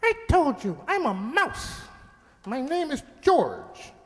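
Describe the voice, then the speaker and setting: A tiny talking mouse, with an extremely high voice that swoops about. He is cheeky and indignant, mock-formal in his defiance, in a comic radio play recorded in a reverberant hall. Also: sing-song, slow, full character voice. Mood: defensive. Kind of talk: comic science-fiction radio play acting. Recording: echoey hall with long reverb.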